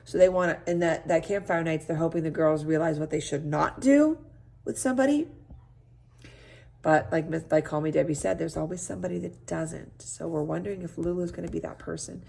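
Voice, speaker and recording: A woman teacher with a slightly low voice reads a children's book aloud. She is expressive and chatty, often checking in with her young listeners through questions. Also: a little fast, gravelly. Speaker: teacher reading aloud to a class.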